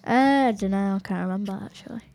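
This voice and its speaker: A woman's voice: mid-range voice, fast, gravelly, darkish tone. A girl hosting a home podcast in casual chat with friends, bossy and giggly. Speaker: podcast host. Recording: faint background noise.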